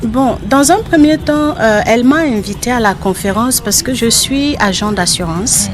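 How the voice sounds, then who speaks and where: A woman from Cameroon answering interview questions as an insurance agent, explaining things in a measured way. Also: medium pitch.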